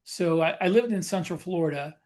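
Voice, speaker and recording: A man co-hosting a podcast, telling personal anecdotes in a casual, rambling, halting way with self-corrections. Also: high-pitched, a little mellow. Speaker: podcast co-host.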